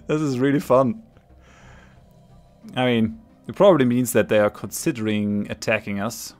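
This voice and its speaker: A man's voice: mid-range voice, measured pace, pauses often, a little mellow, faint music behind. A man with a cold narrating his strategy game as a let's play host, thinking aloud with many ums and restarts and laughing readily. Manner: laughs readily between remarks. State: has a cold. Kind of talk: casual gameplay commentary.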